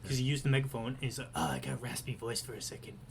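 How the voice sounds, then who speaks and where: A man in the middle of an ordinary pitch range, co-hosting a podcast. He gets excitable and swears freely, and his voice jumps in loudness when he gets worked up. A faint hiss of noise runs behind him. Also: rapid-fire, vocal fry. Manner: excitable, profane, animated swings in loudness. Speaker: podcast co-host. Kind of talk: casual chat.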